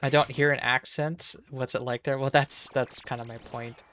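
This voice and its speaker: A man's voice: mid-range voice, fairly even intonation, normal speed, fairly bright tone, a little hiss or hum. A man with a Minnesotan accent, in his thirties, chatting casually with viewers while he streams a game and restarting his sentences as he goes. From Minnesota, USA.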